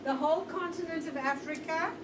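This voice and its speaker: Woman, Zimbabwean accent, high voice, tour guide, chatty, distant mic, noisy. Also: a little fast, reverberant. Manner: chatty, addresses the group directly. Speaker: diamond factory guide.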